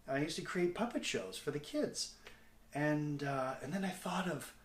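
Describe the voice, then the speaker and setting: A middle-aged man with a Canadian accent talking casually to a video diary in a candid, self-deprecating, halting way. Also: on the high side, animated, slight background noise. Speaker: comedic actor and video diary host. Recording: echoey, roomy sound.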